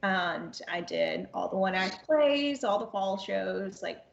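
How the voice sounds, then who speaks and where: A woman's voice: medium pitch, slow pace, vocal fry. Young woman in a dull, roomy recording. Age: 20s. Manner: animated retelling with quoted dialogue and repeated phrases. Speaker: podcast guest, amateur voice actress. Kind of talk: casual anecdotal storytelling.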